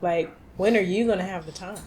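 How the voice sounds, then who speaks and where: Lower-pitched woman in casual podcast chat. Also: a little fast, darkish tone. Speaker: podcast co-host. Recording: faint ambient room noise.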